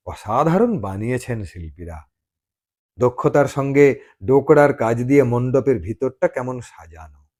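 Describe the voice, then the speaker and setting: A man reading a dramatized audio love story as storyteller, expressive and given to dramatic pauses. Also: fairly high pitch.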